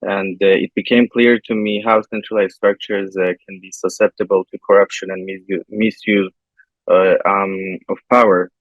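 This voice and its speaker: A man from Turkey answering interview questions in a halting way, with many pauses and fillers, over a video call. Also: deep voice, flat delivery, no echo. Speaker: interview guest, software engineer. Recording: tinny phone-like line, dry.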